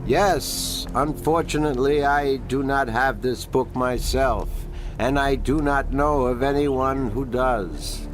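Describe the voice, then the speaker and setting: A man voicing an ancient, wise being of a magical people in a fantasy game, speaking in a formal, deliberate, solemn way. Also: mid-range voice, music in the background, close to an everyday voice. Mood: grave, informative. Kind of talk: fantasy game character dialogue.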